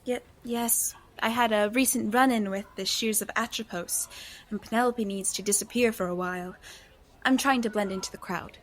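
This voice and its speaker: An actress playing an anxious, principled young heroine in an audio drama, hesitant and cautious. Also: female voice, mid-range voice, fairly even intonation, natural voice. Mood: guarded, polite. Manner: hesitant, halting.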